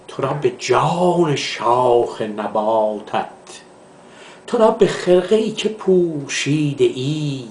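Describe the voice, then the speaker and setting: A man from Iran, a literature professor, recites classical poetry in a measured, declamatory way, drawing out the line endings. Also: mid-range voice, slight background noise. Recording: echoey, reverberant room sound.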